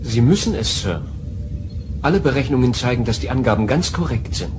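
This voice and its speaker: An actor playing a literal-minded, polite android officer in a sci-fi audio drama, formal and exact. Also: male voice, medium pitch, expressive, a little fast, background noise, lightly acted. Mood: matter-of-fact. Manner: matter-of-fact, exact, formal. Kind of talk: German audio drama acting.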